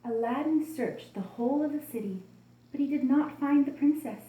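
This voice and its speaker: A woman reading a story expressively and deliberately for children. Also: high voice, roomy sound, slight background noise, rough recording. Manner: expressive, deliberate storytelling addressed to young listeners.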